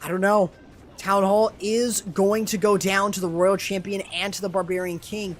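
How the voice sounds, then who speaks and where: A man's voice: high voice, moderate pace. Young man calling an esports match. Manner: excitable, rising to sudden exclamations. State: voice strained and going hoarse. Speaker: esports commentator and streamer. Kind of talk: live play-by-play game commentary.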